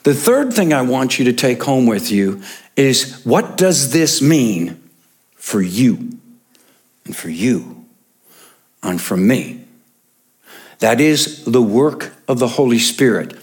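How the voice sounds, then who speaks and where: A man's voice: medium pitch, sing-song, slow, long pauses. A male pastor preaching a sermon in church, stressing and repeating his main points for the congregation.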